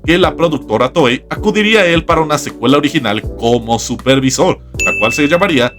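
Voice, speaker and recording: A man's voice: on the high side, lively intonation, brisk, over music. A man hosting a scripted YouTube video essay, narrating in a voice-over. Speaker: YouTube host.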